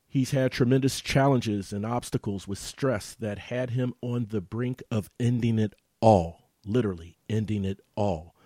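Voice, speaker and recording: A man hosts a podcast with an emphatic, rising delivery, leaning hard on key words as he opens the show. His low voice sounds close and dry, with no room behind it. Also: unhurried, hardly any pauses, no echo. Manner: emphatic, warm-welcoming host delivery. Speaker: podcast host. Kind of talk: upbeat podcast hosting.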